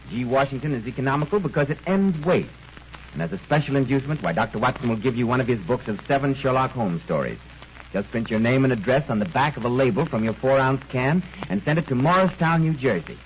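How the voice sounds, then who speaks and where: A male radio host in 1930s announcing style, polished and courteous, delivering scripted sponsor chat like a salesman. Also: mid-range voice. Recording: dull, noisy 1930s radio recording.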